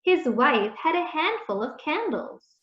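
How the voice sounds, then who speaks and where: A woman reading a children's story aloud in a deliberate, expressive storytelling manner. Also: high-pitched. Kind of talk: read-aloud storytelling, scripted narration. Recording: echoey room, dull muffled sound.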